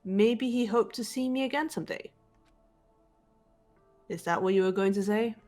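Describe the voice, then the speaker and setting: A woman's voice: medium pitch, a little mellow, soft background music, natural voice. A woman voicing a composed, guarded, analytical teenage girl detective in a fan-dubbed game. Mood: firm, controlled. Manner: measured, reserved, matter-of-fact delivery. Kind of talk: fan voice acting of a visual novel game.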